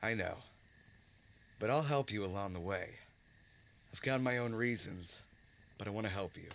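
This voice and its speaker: An actor playing a loyal, easygoing young friend in an audio drama, with a steady, casual delivery. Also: male voice, lowish voice, normal speed. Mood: supportive.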